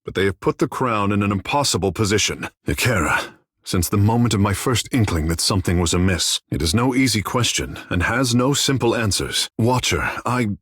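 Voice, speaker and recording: Flamboyant husky young demigod, swooping intonation. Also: male voice, lowish voice, animated, fairly quick, a bit bright, no room sound, clean studio sound. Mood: grave, earnest. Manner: theatrical, lofty formal phrasing. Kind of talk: scripted fantasy video game dialogue.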